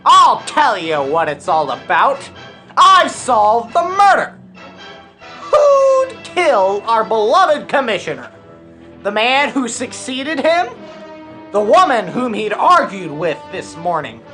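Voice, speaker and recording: A blunt, wry radio detective with a high voice and swooping intonation, played by a woman. The delivery is theatrical yet deadpan, unhurried and measured, in the style of an old crime serial parody. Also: faint music behind, heavily acted. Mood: accusing, triumphant. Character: blunt, wry detective narrating a murder investigation.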